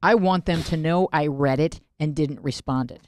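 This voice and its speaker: A woman's voice: low voice, normal speed, no room sound. Middle-aged woman hosting, close and dry. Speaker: podcast host. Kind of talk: casual panel chat. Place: small podcast studio. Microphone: close studio microphone on arm.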